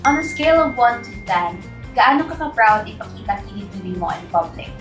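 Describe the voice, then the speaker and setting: A woman's voice: fairly high pitch, expressive, fast talker, fairly bright tone. A woman with a Filipino accent asking questions as an interviewer. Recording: roomy echo, music behind. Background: background music.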